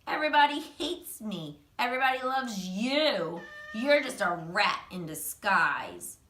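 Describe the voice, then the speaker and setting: A woman's voice: a little high, big pitch swings, measured pace, sharp tone, slight background noise, heavily acted. A woman voicing a sulky schoolboy troublemaker in a storybook, grumbling and resentful. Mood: bitter, resentful. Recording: roomy echo, distant microphone.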